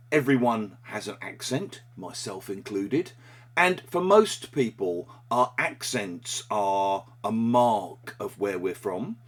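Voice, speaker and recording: A man presenting a short English lesson, explaining a phrase in a measured, clear teaching manner. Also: on the low side, a bit bright.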